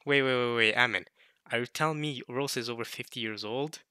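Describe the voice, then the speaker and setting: Bright, forceful teenage guy chatting. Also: mid-range voice, fairly quick, clean tone, dry recording, clean studio sound. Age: late teens, turning twenty. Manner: jokey, animated, repeats words in bursts. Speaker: host of a video series reading a visual novel. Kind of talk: casual rambling chat to viewers.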